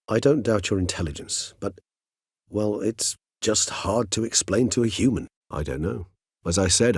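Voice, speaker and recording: Courteous vampire scholar, very husky, low-pitched, formal eloquent phrasing, gentle philosophical temper, video game dialogue. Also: male voice, no echo, clean studio sound, heavily acted. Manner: formal, eloquent, measured old-fashioned phrasing. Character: courteous, erudite middle-aged male vampire, gentle and philosophical.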